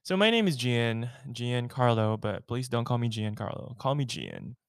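A man's voice: mid-range voice, very animated, moderate pace, clean tone, no echo, studio quality. A young man from the Philippines co-hosting a podcast, playful and teasing, laughing often in casual chat. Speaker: podcast co-host.

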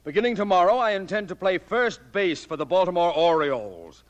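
A man's voice: sits high, lively intonation, a little fast. An actor playing a grumpy, blustering, sarcastic father in 1940s radio style, with an exasperated, pompous way of talking. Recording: dull old radio recording.

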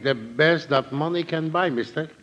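An actor playing a chatty, folksy camera shopkeeper full of sales patter in a 1940s radio drama. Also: male voice, a little high, normal speed, a bit bright, close to an everyday voice. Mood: easygoing. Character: eager, chatty male camera shopkeeper, small-time salesman. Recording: muffled, noisy old radio recording.

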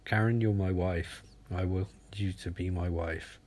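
A man playing an earnest, lovestruck husband in a comic horror tale, speaking solemnly and haltingly. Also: low-pitched, fairly even intonation, a little mellow, slight background noise, pitched below the performer's own voice. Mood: tender, hushed.

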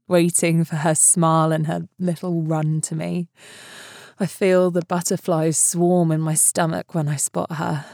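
Woman reading a script, slightly low voice, measured, super dry recording. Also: vocal fry, darkish tone, no echo. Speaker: podcast announcer and reader. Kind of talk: scripted narration.

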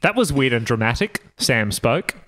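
A man's voice: mid-range voice, sing-song, normal speed, fairly bright tone. A man reading a story aloud for comedy, with joking asides and an amused delivery that often tips into laughter. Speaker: podcast guest reading aloud.

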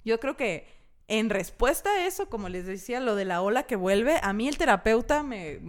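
A woman with a Mexican accent co-hosts a casual podcast, her voice pitched fairly high. She talks in an animated, slangy way, swearing freely. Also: moderate pace. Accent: Mexican Spanish. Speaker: podcast co-host. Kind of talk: casual chat.

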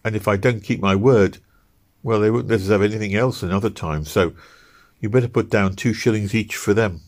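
A man's voice: lowish voice, fairly quick, a little mellow, pitched above the performer's own voice, natural voice. A man voicing a weary, poor young wife who speaks in a plaintive, sobbing way. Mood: anxious. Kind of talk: audiobook character reading.

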